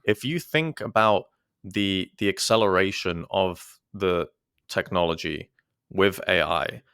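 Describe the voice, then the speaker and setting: A man's voice: deep voice, a bit bright, no echo, clean studio sound. A man who is a guest on a podcast, talking in a thoughtful, hesitant way with many restarts. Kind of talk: casual podcast conversation.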